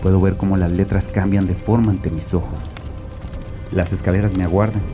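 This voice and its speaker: Male actor as tormented religious father, deep register, grave deliberate horror narration, clear music bed. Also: little pitch movement, close to an everyday voice. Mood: grave dread. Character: tormented guilt-ridden religious father hiding in a bunker. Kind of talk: dramatic first-person horror narration. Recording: voice over prominent music.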